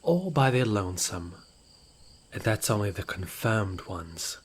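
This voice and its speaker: A man's voice: lowish voice, expressive, unhurried. A man playing a smug, nonchalant rogue informant in a fantasy audio roleplay, with a theatrical, mocking, playfully menacing way of talking. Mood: mocking, insinuating. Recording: noisy outdoor night ambience with crickets. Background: crickets and night insects.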